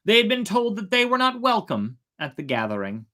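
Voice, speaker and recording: A storyteller narrating a folk tale in a theatrical way, with drawn-out, emphatic words. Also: medium pitch, very animated, bright, forceful tone. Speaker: storyteller, host of a storytime show.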